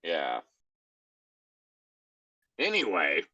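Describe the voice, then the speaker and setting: A man's voice: low-pitched, huge pitch swings, very slow, sharp tone, no echo, muffled recording. A man co-hosting a show in casual chat, rambling wryly as he reacts to a story. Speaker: co-host of a fan fiction reading show.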